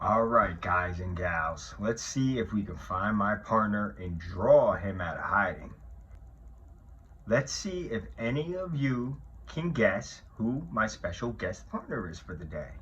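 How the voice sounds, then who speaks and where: A man, a police officer, talking and reading to children in an expressive way, addressing them directly. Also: fairly low pitch, a little slow, dull audio, slight background noise. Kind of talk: children's read-aloud and talk to pupils.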